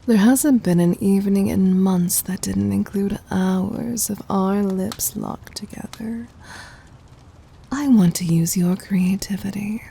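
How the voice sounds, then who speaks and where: A woman voicing a commanding, possessive yet tender demon queen in an ASMR roleplay, soft, husky and often near a whisper, with imperious, teasing delivery. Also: medium pitch, fairly even intonation, takes it slowly, full character voice. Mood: teasing, amused.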